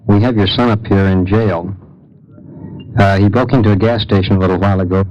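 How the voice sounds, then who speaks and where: A low-voiced man, a police sergeant, speaks in a flat, matter-of-fact way. The old recording is muffled, with faint noise behind his official, plain-spoken delivery. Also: fairly quick. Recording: muffled vintage location tape, faint noise.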